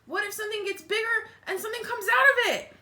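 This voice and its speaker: A woman's voice: very high voice, steady tone, fast, sharp tone, voice pushed up, heavily acted. A woman reading a picture book, voicing an anxious little girl mouse with fretful questions. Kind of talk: picture book read-aloud. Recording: roomy, echoey home recording.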